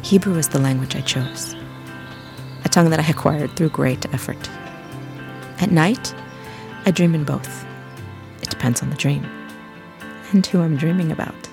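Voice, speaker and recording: A woman narrating an audio journey, speaking softly and directly to the listener. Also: sits low, normal speed, gravelly, pauses often. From English-speaking country. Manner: soft, gentle, addresses the listener directly. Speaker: audio tour guide. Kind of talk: scripted intimate narration. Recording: faint background music.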